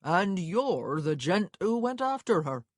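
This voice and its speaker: A woman's voice: lowish voice, big pitch swings, slow, darkish tone, no echo, clean studio sound, pitched above the performer's own voice, full character voice. A woman voicing a nosy, officious male stagecoach clerk in an audiobook, chatty and rambling. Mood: prying. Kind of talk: acted audiobook dialogue.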